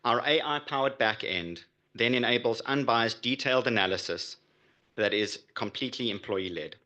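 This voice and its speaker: A man delivering a prepared pitch as a startup founder. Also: moderate pace, bright, forceful tone. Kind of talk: prepared business pitch presentation.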